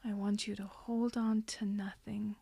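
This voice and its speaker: A woman with a soft, breathy, whispery voice leading a guided hypnosis meditation in a soothing, gently instructing way. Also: medium pitch, flat delivery, unhurried, dry recording. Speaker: hypnotherapist guiding a session.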